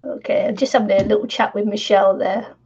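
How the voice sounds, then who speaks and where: An older woman hosting a crafting live stream from home, croaky from not talking, chatty with lots of fillers and laughs. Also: medium pitch, fairly even intonation, quick pace, darkish tone. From New Zealand. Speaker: crafting channel host. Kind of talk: casual live stream chat. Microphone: computer mic on live stream. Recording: dull lo-fi stream audio.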